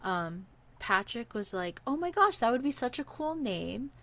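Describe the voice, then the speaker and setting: A woman's voice: on the high side, a little slow. Casual, chatty woman telling a story. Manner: animated, acts out quoted dialogue. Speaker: podcast guest storyteller.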